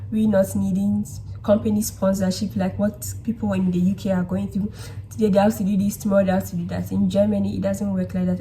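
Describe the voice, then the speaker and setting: A woman's voice: medium pitch, dark tone, slight background noise, rough recording. A young woman chatting casually in a vlog, giving encouraging advice and often checking in with "okay?". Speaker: vlogger giving study and job advice. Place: indoors at a laptop.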